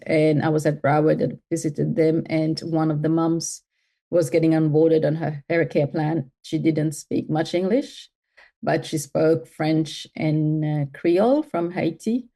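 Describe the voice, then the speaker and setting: A woman from Mauritius speaks as an interview guest, her voice low and mellow. She thinks aloud, circling back with fillers and restarts as she builds each answer. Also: steady tone, unhurried. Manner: thoughtful, with many fillers and restarts. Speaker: guest, health tech CEO.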